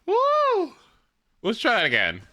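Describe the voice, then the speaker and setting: A man giving casual, excitable gaming livestream commentary, exclaiming and swearing freely as he plays. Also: very high voice, takes it slowly, background noise. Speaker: live streamer playing a video game.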